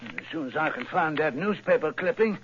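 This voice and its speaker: An actor playing a fumbling, plain-spoken middle-aged client in a 1940s radio drama from a dull old broadcast. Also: male voice, on the high side, expressive, a little fast, fairly bright tone, slight background noise. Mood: flustered. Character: flustered middle-aged red-haired male client.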